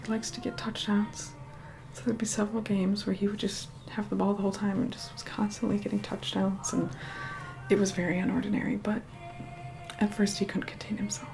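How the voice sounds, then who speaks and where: A woman playing an aloof, vain young vampire in a soft whispered ASMR roleplay, unhurried, dry and mildly condescending. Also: level tone, lightly acted, low-quality recording. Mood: dry, exasperated. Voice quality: soft, breathy whispering mixed with low murmur. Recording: music playing behind close whispered voice.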